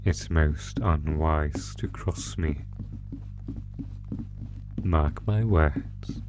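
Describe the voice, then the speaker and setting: A man voicing a sadistic, theatrical monster who taunts a prisoner in a horror roleplay, talking with drawn-out pauses. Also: very deep voice, music in the background, full character voice. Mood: cold, threatening. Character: sadistic, gloating male monster stalking its prisoner through a maze. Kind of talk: ASMR horror roleplay audio drama.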